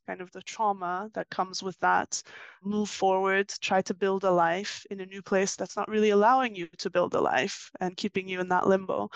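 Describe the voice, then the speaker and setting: A woman from Turkey speaks as an interview guest, explaining things in a conversational way. Her pitch is average and the recording is dry, with hardly any room sound. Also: vocal fry, dry recording. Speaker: interview guest, author and financial journalist.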